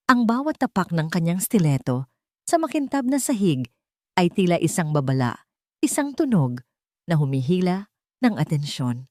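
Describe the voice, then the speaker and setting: Female Filipino narrator for dramatic romance audio stories; low voice, close dry sound, theatrical phrasing. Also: darkish tone, dry recording.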